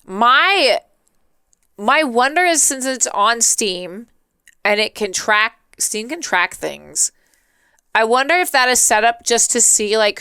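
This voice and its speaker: A woman's voice: mid-range voice, fairly bright tone, clean tone, dry recording, studio quality. A woman streaming a let's play, reading a visual novel aloud with playful, dramatic, giggly asides. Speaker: gaming streamer. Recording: music behind the voice. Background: game music.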